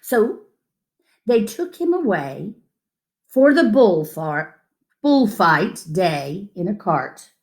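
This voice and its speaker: Slow, animated woman reading a children's story. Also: mid-range voice. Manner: animated, dramatic emphasis with asides to listeners. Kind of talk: expressive children's story read-aloud.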